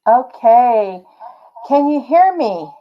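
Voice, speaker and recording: A woman hosting a craft live stream, chatting with viewers by name and using many fillers, her voice slightly raspy as she recovers from laryngitis. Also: on the high side, big pitch swings, slow pace, a little hiss or hum. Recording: dull lo-fi live stream audio.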